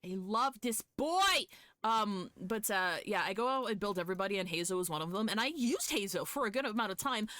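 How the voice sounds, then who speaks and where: A woman streaming a game live, chatting casually with viewers in an animated, sweary, fan-like way. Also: medium pitch, brisk, no room sound. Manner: foul-mouthed. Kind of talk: casual chat with viewers while gaming.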